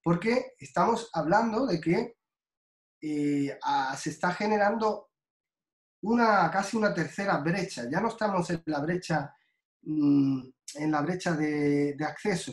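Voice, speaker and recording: A man from Spain giving a slow, deliberate guest lecture over a video call, with many fillers and restarts. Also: on the high side, roomy sound.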